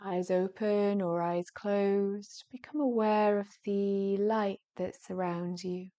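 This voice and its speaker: A woman leading a guided yoga nidra relaxation in a gentle, soothing manner, with soft phrases that turn breathy at the ends and long pauses between them. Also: mid-range voice, fairly even intonation, muffled recording.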